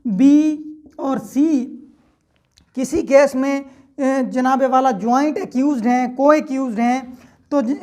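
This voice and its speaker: High-voiced woman lecturing on law. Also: a little mellow. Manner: methodical, often checks in with "theek hai ji". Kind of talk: lecture explaining law articles.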